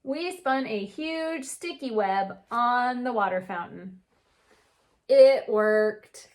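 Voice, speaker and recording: A woman's voice: fairly high pitch, expressive, takes it slowly, a little mellow. A woman teacher reading a children's storybook aloud with chatty asides, putting questions to young listeners and answering them. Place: indoor reading nook at home.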